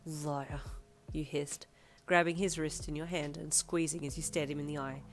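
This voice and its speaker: A woman with a deeper voice reads fanfiction in a casual, chatty, tomboyish way. Her voice sits low for a woman. Faint background music runs under her. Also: steady tone, brisk. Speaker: fanfiction reader. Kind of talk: audio fanfiction narration.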